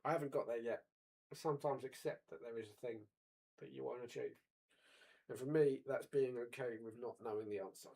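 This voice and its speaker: A man of forty co-hosting a casual chat, wry and pedantic, quick to argue a point. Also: medium pitch, creaky, dark tone, husky, rough recording. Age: 40s. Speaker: podcast co-host.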